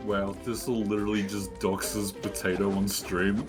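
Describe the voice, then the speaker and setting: A man's voice: fairly low pitch, little pitch movement, normal speed. A man chatting on a gaming stream, giggling constantly as he talks. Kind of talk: casual gaming stream chat. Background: game music.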